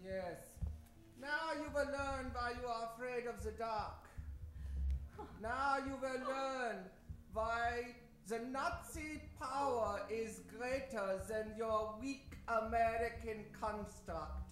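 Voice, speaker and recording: An actor playing a pompous, scheming male villain with a drawn-out melodramatic delivery in an old-time radio adventure parody. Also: very high voice, fairly bright tone, heavily acted. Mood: gloating. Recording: roomy stage sound with music behind.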